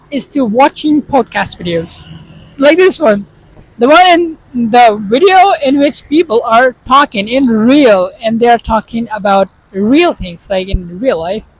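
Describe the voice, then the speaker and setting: A man's voice: extremely high pitch, dry recording, low-quality recording. A man from Pakistan with a Pakistani accent and Urdu as his first language, giving casual, earnest advice straight to the viewer. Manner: earnest, explaining directly to the viewer. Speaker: vlogger.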